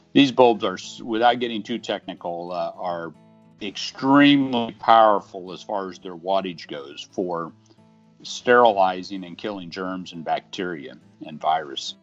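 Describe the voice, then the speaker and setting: A middle-aged man in an easygoing, conversational voice-over, explaining an HVAC installation with homespun comparisons. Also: fairly low pitch. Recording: dull lo-fi audio with faint guitar music.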